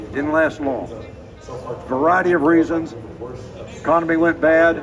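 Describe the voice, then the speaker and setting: A man, hoarse from a cold, giving a folksy guided walking tour and repeating his key points. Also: on the high side. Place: outdoors at a racetrack with a tour group. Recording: distant voice, outdoor noise.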